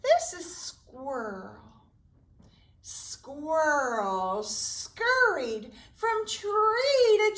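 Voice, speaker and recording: A woman's voice: high voice, fairly bright tone. A woman hosting a preschool story time, speaking in simple, encouraging phrases to young children as a children's librarian. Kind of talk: sing-song preschool storytime with songs and read-aloud. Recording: roomy echo, distant microphone.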